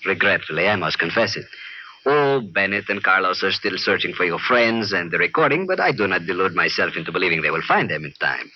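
Suave male Latin villain, deep and formally courteous with open menace; muffled old radio drama sound. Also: lively intonation. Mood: smooth menace. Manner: formal, mock-polite, deliberate. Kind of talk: 1950s radio drama acting.

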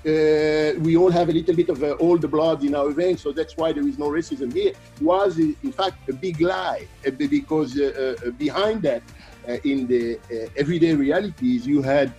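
An older man speaks as an interview guest, his voice dark and mellow. He talks in a halting, reflective way, stopping and restarting often. Faint music sits underneath. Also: on the high side, expressive, creaky, lo-fi sound. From Democratic Republic of Congo, raised in Belgium. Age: 60s. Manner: hesitant, many fillers and restarts. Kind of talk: thoughtful interview answers.

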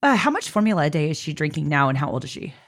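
Woman, podcast interviewer, quick pace, lively pitch, informal conversational style.

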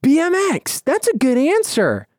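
A man hosting a casual podcast chat, laughing often and breaking into quick excited asides. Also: extremely high pitch, dry recording, studio quality. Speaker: podcast host.

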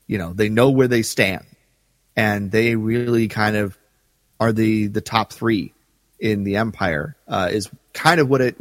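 A man's voice: lowish voice, no room sound, in a large hall. Emphatic male podcast co-host, dry close sound. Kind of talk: casual discussion and commentary.

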